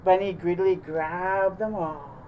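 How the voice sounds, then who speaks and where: A woman narrating a children's picture book aloud in an expressive, deliberate way. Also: fairly low pitch, muffled recording, slight background noise. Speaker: storytime host reading aloud.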